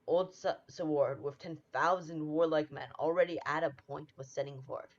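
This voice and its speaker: A young adult student actor playing a noble, earnest exiled prince in a radio Shakespeare play, speaking in measured, declamatory verse. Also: medium pitch, steady tone, close to an everyday voice. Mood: earnest, solemn. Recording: dull lo-fi audio.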